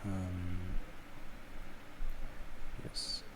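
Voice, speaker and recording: French guy doing a software walkthru, low voice, slow n hesitant, noisy home recording. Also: monotone. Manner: hesitant, halting delivery with frequent pauses. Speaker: tutorial presenter. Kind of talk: unscripted software tutorial narration.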